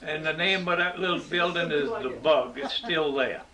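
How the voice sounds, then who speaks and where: A man's voice: a little high, moderate pace, pushed and bright. A man, chatting and chuckling as he tells local memories. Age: 80s. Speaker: group member telling local memories. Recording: noisy amateur recording.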